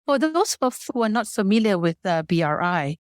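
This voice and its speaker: A woman's voice: mid-range voice, sing-song, moderate pace, no echo, clean studio sound. A woman from Malaysia giving formal, prepared, explanatory answers as a business guest on a podcast.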